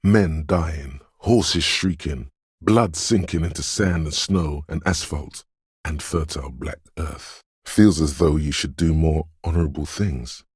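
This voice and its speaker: A man voicing a video game's inner voice of intuition, dreamy, ominous and mysterious, narrating in a portentous, poetic way with a deep, gravelly, husky tone. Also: measured pace, no echo, clean studio sound, heavily acted. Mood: grave, mournful. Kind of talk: scripted second-person video game narration.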